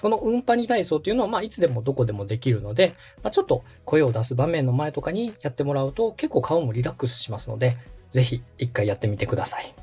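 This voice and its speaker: A man in his thirties hosts as a friendly instructor, explaining exercises step by step with encouragement. His voice is slightly rough and moves animatedly up and down. Soft music plays faintly underneath. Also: medium pitch, big pitch swings, quick pace, hardly any pauses. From Osaka, Japan. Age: 30s. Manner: encouraging, polite. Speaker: podcast host and radio director coaching vocal warm-ups.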